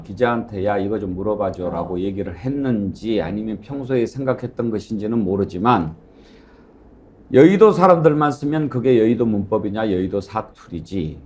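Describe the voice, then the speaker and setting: A man anchoring a political talk show, giving wry, measured commentary with pauses. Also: fairly low pitch, darkish tone, a little hiss or hum. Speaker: news anchor.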